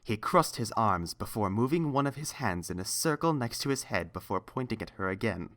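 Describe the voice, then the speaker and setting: Very deep-voiced female story narrator. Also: animated, a little fast, fairly bright tone.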